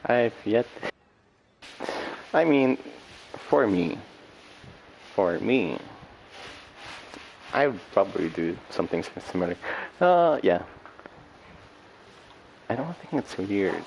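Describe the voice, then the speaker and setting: A man's voice: lowish voice, very animated, vocal fry, a little mellow, dull audio, slight background noise. A man giving casual livestream gameplay commentary, rambling and often correcting himself. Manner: halting, rambling, self-correcting. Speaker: livestream host. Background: faint game music.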